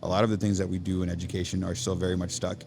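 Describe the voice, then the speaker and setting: A man's voice: deep voice, little pitch movement, rapid-fire, noisy background. A man being interviewed about education on a podcast, talking earnestly and checking in with "right?" as he thinks aloud. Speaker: podcast guest, education nonprofit leader. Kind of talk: casual interview chat. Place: coffee shop.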